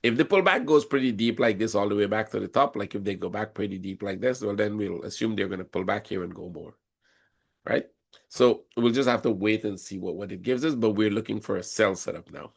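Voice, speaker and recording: A man with a cold and a hoarse voice, explaining chart patterns step by step during a live trading session. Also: on the low side, fairly quick. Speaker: forex trading instructor. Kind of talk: live trading room commentary.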